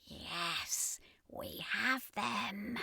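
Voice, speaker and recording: A woman's voice: medium pitch, extremely slow, gravelly, sharp tone, husky, dry recording, studio quality, full character voice. A woman voicing a cruel male alien commander in a science fiction story, imperious and vengeful. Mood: gloating. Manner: imperious, gloating.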